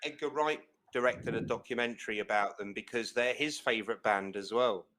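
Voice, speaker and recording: A young man hosting a relaxed movie chat, his voice bright and forward, with pitch sitting in an ordinary male middle. He rambles with enthusiasm, circling back with fillers and quick restarts as he explains what he loves. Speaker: podcast host. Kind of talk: casual chat.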